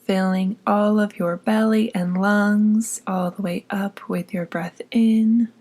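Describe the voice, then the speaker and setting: Slow, breathy woman guiding meditation. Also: mid-range voice, fairly even intonation. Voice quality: soft, breathy, often near whisper. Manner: soothing, unhurried, gently instructing. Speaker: guided meditation guide. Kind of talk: scripted guided meditation narration.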